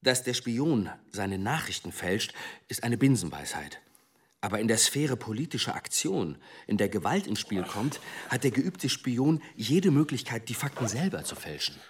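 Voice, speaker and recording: An actor playing a measured, deferential but determined senior police commissioner in a German radio drama, husky-voiced and formally courteous. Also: male voice, medium pitch, very animated. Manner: formal, courteous, careful phrasing.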